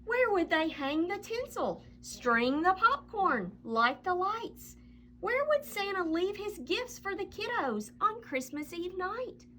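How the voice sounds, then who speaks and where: A woman reading a children's picture book aloud as a storyteller, with expressive, dramatic delivery and drawn-out emphasis. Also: high voice, a little hiss or hum.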